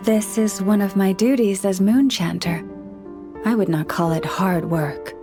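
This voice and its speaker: A woman voicing a gentle, earnest young woman who counsels animals in a video game, speaking in a measured, formal way. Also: medium pitch, lightly acted. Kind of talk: video game character dialogue. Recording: studio voice over background music.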